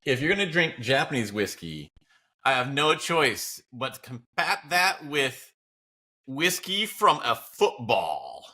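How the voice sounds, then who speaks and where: A man's voice: mid-range voice, big pitch swings, takes it slowly, bright, forceful tone. A man bantering casually as a podcast co-host, with a wry, playful and emphatic way of talking.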